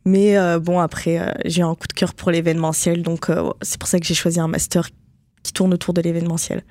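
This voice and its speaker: A young woman student answering interview questions casually, in a halting way with fillers. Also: fairly low pitch, level tone, darkish tone. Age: young adult.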